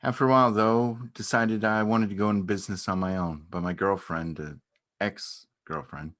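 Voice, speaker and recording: Low-pitched male actor as a shy beekeeper; halting, understated, earnest stage dialogue. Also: fairly even intonation, a bit bright, close to an everyday voice. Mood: mild, hesitant. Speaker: amateur or local theatre actor. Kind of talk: naturalistic dramatic reading of a stage dialogue.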